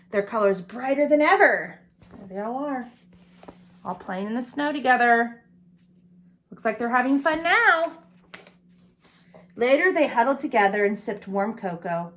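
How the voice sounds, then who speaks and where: Woman, higher-pitched, preschool storybook reader who pauses to explain and question. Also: a bit bright. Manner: explains pictures and asks listeners questions. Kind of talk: read-aloud picture book with chatty asides to young children.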